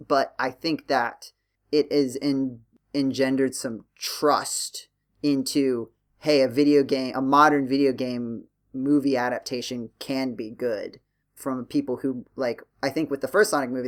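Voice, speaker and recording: Man, podcast host, mid-range pitch, casual conversational delivery, self-correcting explanations. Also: fairly bright tone.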